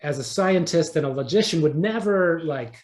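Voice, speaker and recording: Higher-voiced man in an echoey room. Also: lively intonation, moderate pace, darkish tone. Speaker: interview guest, audio drama creator. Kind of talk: casual explanatory interview talk. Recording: roomy, echoing sound.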